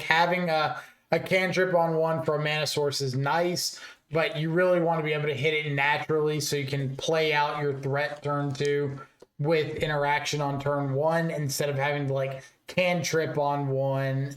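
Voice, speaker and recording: A man with a slightly raspy voice talks through card game strategy in a relaxed, casual way, thinking aloud with fillers as he goes. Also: a little high, reverberant. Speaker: card game content creator.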